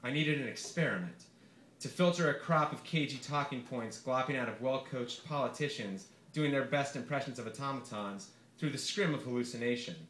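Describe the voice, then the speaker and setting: A man's voice: mid-range voice, brisk, a bit bright, echoey room, slight background noise, low-quality recording. A man giving a wry, performative live reading of his own satirical essay to a bar audience.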